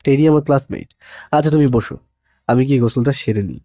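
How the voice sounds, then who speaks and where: A man acts a young husband in a romantic audio drama. His voice is mellow and dry, with a playful, teasing manner and a protective streak. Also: mid-range voice, fast, no echo, natural voice. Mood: casual, affectionate. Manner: playful, teasing, sprinkles English words. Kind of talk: dramatized audio story dialogue.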